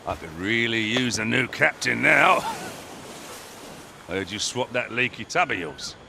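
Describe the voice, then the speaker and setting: An actor playing a brash, foul-mouthed pirate kingpin in a video game, boastful, crude and commanding. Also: male voice, mid-range voice, animated, a little slow, creaky, pushed and bright, a little hiss or hum, heavily acted. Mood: boastful, scheming. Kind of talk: video game character acting.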